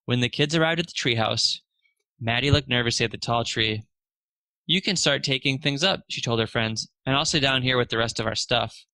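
A man's voice: medium pitch, brisk, bright, forceful tone, no room sound, rough recording. A man narrating a children's storybook aloud, expressively lifting his voice for the dialogue.

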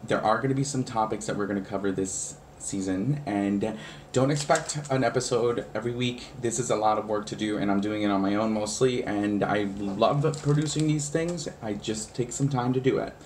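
A man's voice: on the low side, normal speed, a little hiss or hum. Casting: male talk show host, thirties, informal and conversational. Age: 30s. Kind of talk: casual chat and talk to viewers.

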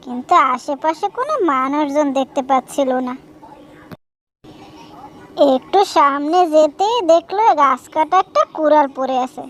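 A woman's voice: high voice, animated, normal speed. A woman storyteller reading scripted narration for a children's cartoon tale.

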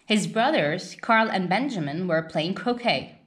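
A woman narrates a children's storybook with very varied, animated intonation. She takes her time over each phrase, reading slowly and deliberately in a mid-pitched voice. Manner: unhurried, deliberate read-aloud delivery. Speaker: storybook reader.

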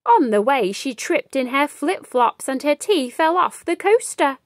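Animated high-voiced woman reading a storybook. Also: no echo, clean studio sound. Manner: animated, deliberate storytelling delivery. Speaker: children's storybook reader and host. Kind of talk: expressive read-aloud storytelling.

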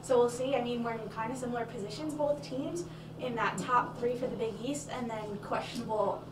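Higher-voiced young woman sports analyst. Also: brisk. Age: young adult. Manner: chatty, reels off stats with fillers. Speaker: student sports analyst co-host. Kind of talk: postgame basketball analysis. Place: small studio with stadium backdrop. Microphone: handheld mic. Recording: echoey room, mic far, crowd noise behind.

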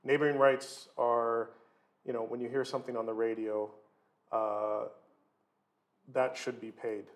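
A middle-aged man giving an informal lecture and introducing speakers in a halting, conversational way from a desk in a hall. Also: mid-range voice, level tone. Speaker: guest lecturer, record label co-owner. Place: wood-panelled lecture hall, seated at desk.

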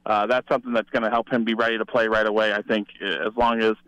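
A man's voice: fairly low pitch, little pitch movement, fast, a bit bright, clean tone, dry recording, low-quality recording. A man giving conversational football analysis as a guest sports reporter.

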